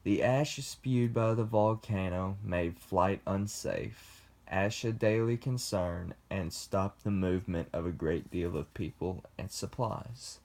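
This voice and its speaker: Young man, class presentation, slow deliberate reading, low-pitched. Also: gravelly, a little mellow. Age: young adult. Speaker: student presenter. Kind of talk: class presentation read from script.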